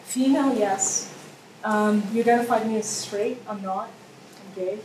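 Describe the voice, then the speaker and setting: A woman in her forties, a workshop participant, talking about herself thoughtfully with frequent ums and pauses. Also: medium pitch, fairly even intonation. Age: 40s. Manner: thoughtful, hesitant, many ums. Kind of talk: casual personal sharing. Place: conference workshop room. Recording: echoey room, distant mic, noisy.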